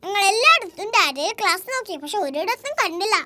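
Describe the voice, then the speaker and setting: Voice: very high-pitched, sing-song, fast. A performer voicing an eager, helpful young child in a children's cartoon, with a sing-song, exclaiming delivery. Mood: earnest. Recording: echoey, with music.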